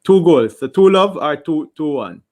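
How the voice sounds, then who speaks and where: Animated host, stumbling over words. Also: male voice, on the high side, normal speed. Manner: animated, stammering restarts, reads viewer comments. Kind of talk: casual watch-along chat.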